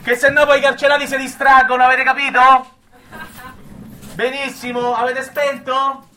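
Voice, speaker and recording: A man playing a shrill, barking prison guard. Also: completely flat delivery, normal speed, sharp tone. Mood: bossy, sarcastic. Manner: barking orders, sarcastic. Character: bossy, foul-mouthed male prison guard bullying visitors. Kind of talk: immersive stage acting.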